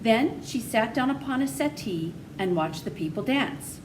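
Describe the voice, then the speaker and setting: A woman's voice: a little high, animated, unhurried. A woman narrating a children's story, reading aloud from a book. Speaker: storybook reader. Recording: noisy, distant microphone pickup.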